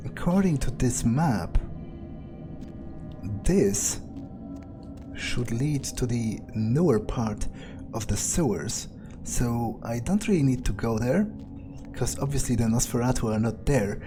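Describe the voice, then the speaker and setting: A man giving in-character gameplay commentary, thinking aloud in a musing, hesitant way with pauses mid-phrase. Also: medium pitch, lively intonation. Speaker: gamer doing an in-character playthrough. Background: game music.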